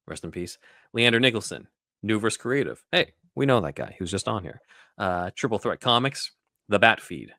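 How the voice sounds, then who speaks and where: A male podcast host speaks in a notably low voice. His delivery is casual and conversational, and the sound is dry, with hardly any room echo. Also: sharp tone.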